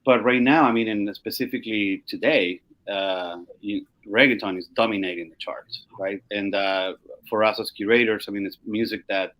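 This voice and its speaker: Man, Spanish speaker, lower pitch, halting casual panel talk, music curator. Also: fairly even intonation, fairly bright tone. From Chile. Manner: halting, frequent "you know".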